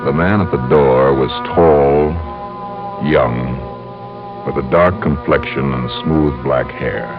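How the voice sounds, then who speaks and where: An actor playing a wry, tough insurance investigator in 1950s radio drama, with an American accent and a clipped, hard-boiled manner. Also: male voice, very deep voice, slow, full character voice. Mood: wry narration. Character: wry, tough male insurance investigator narrating his own case. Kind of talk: 1950s radio detective acting. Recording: dull old radio recording with music.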